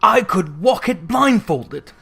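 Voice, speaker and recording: A storybook reader voicing an old miser who is fervent, exclamatory and full of boyish excitement. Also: mid-range voice, slow, gravelly, breathy edge, heavily acted.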